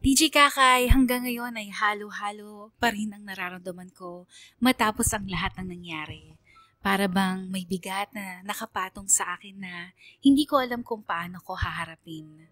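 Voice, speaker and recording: A woman's voice: medium pitch, moderate pace, sharp tone, lightly acted. A woman voicing a troubled but resolute young letter sender, narrating in the first person with reflective, dramatic earnestness.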